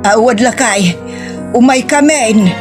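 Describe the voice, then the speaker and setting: Actress playing a slow, high-voiced sorrowful Ilocano woman. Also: darkish tone. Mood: tender, heavy-hearted. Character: sorrowful bride and her anxious, doting mother. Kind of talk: Ilocano audio drama acting. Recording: music bed under the voice.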